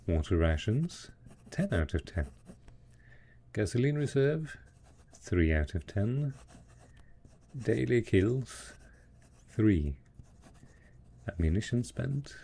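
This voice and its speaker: A man's voice: on the low side, creaky, dark tone, a little hiss or hum, full character voice. A man playing a devoted post-apocalyptic husband in an intimate audio drama, speaking tenderly and patiently as he coaxes his monstrous spouse. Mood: wistful, measured. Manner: tender, coaxing, patient, full of pet names.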